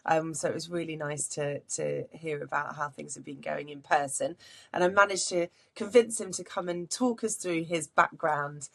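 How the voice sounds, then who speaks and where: A woman's voice: sits low, fairly even intonation, normal speed, creaky. A woman hosting a podcast interview, friendly and chatty, with frequent ums. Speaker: podcast host.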